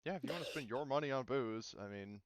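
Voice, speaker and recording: A man's voice: medium pitch, fast talker. A man talking casually and easygoing as game master in a group tabletop role-playing discussion. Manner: easygoing, laughs readily.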